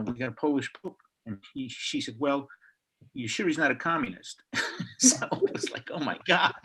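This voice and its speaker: A man's voice: medium pitch, fairly bright tone, slight background noise, poor audio. An older man from Chicago, speaking reflectively on a video call panel, telling stories with frequent restarts. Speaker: panelist author.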